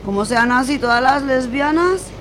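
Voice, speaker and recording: An indignant, rattled young woman in a comic radio serial, played by a woman. She talks in a dramatic, colloquial way with a fairly high voice and an accent from Spain, over faint noise. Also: expressive, moderate pace. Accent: Spanish, from Spain. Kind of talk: comedic radio serial acting.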